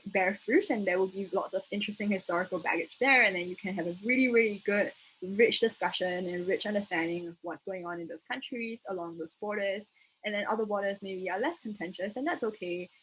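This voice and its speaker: A woman's voice: mid-range voice, fairly bright tone, low-quality recording. A young woman from Singapore with a Singaporean accent, giving an informal explanatory lecture as a debate trainer, casual and hedging as she thinks aloud. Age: young adult. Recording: noticeable background noise.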